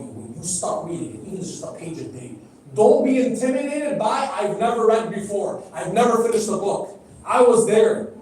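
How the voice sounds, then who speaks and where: A man's voice: high voice, darkish tone. A middle-aged man whose first language is Arabic, preaching a sermon and speaking directly to the audience, asking them questions and repeating phrases for emphasis. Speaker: speaker at a religious gathering. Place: large hall. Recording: echoey hall, heard from a distance.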